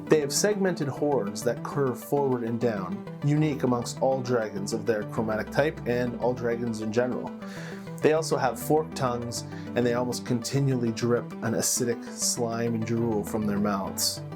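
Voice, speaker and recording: A man hosting as a game master, narrating fantasy lore and story like a measured storyteller with dramatic pauses. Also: medium pitch. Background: plucked guitar music.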